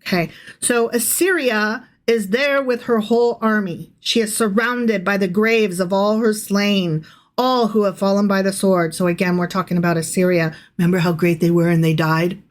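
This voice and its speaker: A woman's voice: medium pitch, steady tone, moderate pace. Irreverent woman podcaster in casual chat. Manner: irreverent, sardonic, casually profane. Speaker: podcast co-host. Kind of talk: casual Bible-study chat, reading aloud and commenting.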